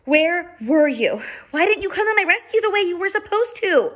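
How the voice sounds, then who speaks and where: A woman's voice: sits high, lively intonation, brisk, bright, forceful tone, pitched above the performer's own voice. A woman reading aloud and voicing a frightened, indignant young girl who stammers breathlessly.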